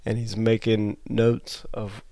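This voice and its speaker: Gravelly, congested podcast host. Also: male voice, on the low side, monotone, slow pace, warm tone, dry recording. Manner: loose, laughing, rambling. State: congested from allergies. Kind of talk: casual chat.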